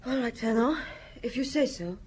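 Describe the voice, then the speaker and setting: High-voiced meek wife played by an actress. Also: female voice, lively intonation, normal speed, very noisy, natural voice. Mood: meek, uneasy. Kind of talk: radio drama acting.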